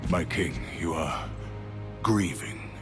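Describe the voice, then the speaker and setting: Old royal advisor, super deep voice, grave n dry, sceptical, video game cutscene with music. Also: male voice, lively intonation, slow. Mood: cautioning. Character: solemn older male royal counselor, measured and sceptical.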